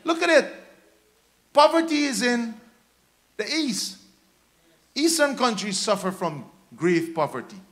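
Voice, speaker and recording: Guyanese man, pastor at the pulpit. Very high-pitched, forceful delivery, exhorting and driving phrases home by repetition. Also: big pitch swings, measured pace, pauses often. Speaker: pastor preaching. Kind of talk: sermon. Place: church stage, indoors. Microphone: handheld microphone.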